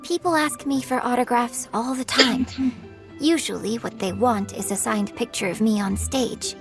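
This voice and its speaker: A woman voicing a graceful, composed young opera singer in a video game, with measured, polite, gently wistful speech. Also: fairly high pitch, music in the background, close to an everyday voice. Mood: earnest, gracious.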